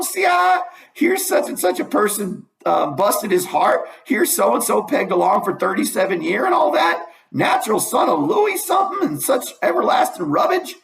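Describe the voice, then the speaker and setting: A man voicing a shrill, gossiping old farm woman who insists on repeating herself. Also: creaky, a bit bright, reverberant, heavily acted.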